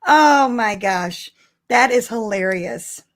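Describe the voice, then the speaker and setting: A middle-aged woman co-hosting a live podcast, chatting casually with an animated, giggly and excitable manner. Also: fairly high pitch, takes it slowly. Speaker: podcast co-host. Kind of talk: casual fan chat on a live stream.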